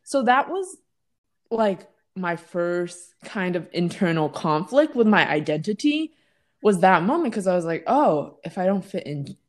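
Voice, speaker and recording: A woman's voice: lowish voice, sing-song, measured pace. A woman in casual conversation, reflecting thoughtfully on growing up and asking her partner questions. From United States. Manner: reflective, storytelling, asks questions.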